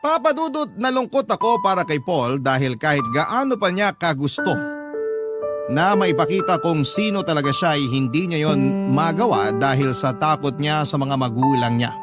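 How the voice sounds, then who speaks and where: Man as tender narrator over loud piano. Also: a little high, expressive, moderate pace, lightly acted. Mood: reflective, grateful. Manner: sentimental, reflective. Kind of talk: scripted first-person radio drama narration.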